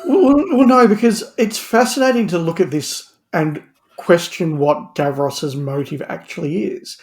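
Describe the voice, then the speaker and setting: A man's voice: on the high side, moderate pace. A man discussing audio drama on a podcast, speaking emphatically and repeating words as he builds his argument. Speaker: podcast panelist.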